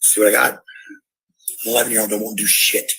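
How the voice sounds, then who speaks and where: A woman's voice: rumbling low, flat delivery, fast, gravelly, fairly bright tone, breathy edge, poor audio. A woman arguing and ranting on a home livestream in a blunt, confrontational way, swearing freely. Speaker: livestreamer and parent. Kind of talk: heated argument and ranting to stream viewers.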